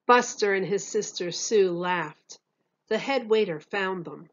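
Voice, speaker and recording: A woman's voice: mid-range voice, unhurried, dull audio. A woman narrating a children's picture book expressively, like a teacher reading aloud.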